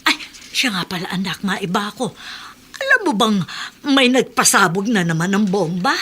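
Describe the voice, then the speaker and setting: A woman's voice: mid-range voice, sing-song, moderate pace, sharp tone, breathy edge, exaggerated voice. An actress playing a fussing, gossipy mother in a radio drama. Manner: fussy, gossipy chatter. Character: fussing middle-aged mother.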